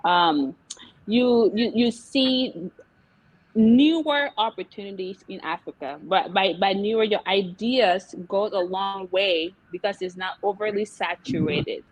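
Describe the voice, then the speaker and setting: A woman's voice: medium pitch, a little slow, no echo, poor audio. A young woman from Liberia, a guest entrepreneur in an interview, talking casually with many fillers and laughs. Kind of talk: interview chat.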